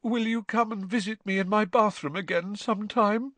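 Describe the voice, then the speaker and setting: A man voicing a glum, touchy teenage girl ghost in a storybook, with a shrill, wailing, self-pitying delivery. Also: very animated, a bit bright, no echo, full character voice. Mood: mournful. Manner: wailing, drawn-out, mournful delivery.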